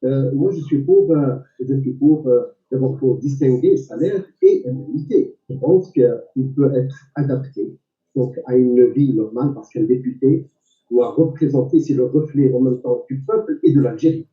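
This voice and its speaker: A man gives a debate answer as a candidate, in a medium-pitched voice. He is halting and deliberate, often repeating words. The sound is roomy, distant and a bit muffled. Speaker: election candidate. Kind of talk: political debate, spontaneous answer. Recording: echoey, distant, dull lo-fi audio.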